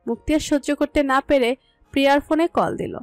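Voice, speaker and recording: A woman's voice: sits high, a little fast, no room sound. A woman narrating a scripted romantic story. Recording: music under the voice. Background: music.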